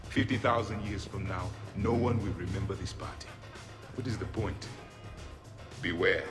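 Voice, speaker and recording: An actor playing a haughty, solemn ancient alien warrior in a video game, speaking in a formal, imperious way. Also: male voice, low voice, normal speed, over music, close to an everyday voice. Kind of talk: video game character acting.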